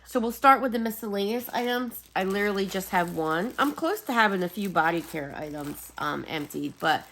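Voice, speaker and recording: A woman vlogger chatting casually and rambling apologetically, slightly raspy and sniffly as she gets over a cold. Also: medium pitch, lively intonation, brisk, fairly bright tone. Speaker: host of a home fragrance video channel. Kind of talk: casual rambling vlog chat.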